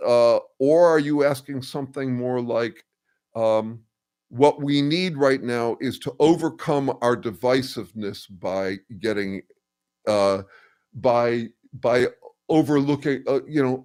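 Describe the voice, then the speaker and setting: An older man hosting a casual livestream, thinking aloud with many ums, uhs and restarts as he answers viewers, and describing his own voice as a bass. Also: a little mellow. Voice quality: says he has a bass voice. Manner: thoughtful, halting, full of ums and restarts. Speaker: host answering viewer comments.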